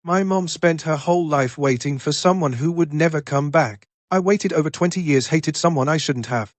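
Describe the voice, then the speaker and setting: A man's voice: fairly high pitch, normal speed, no echo, close to an everyday voice. A man playing a brooding, resolute young man in a short drama. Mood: bitter.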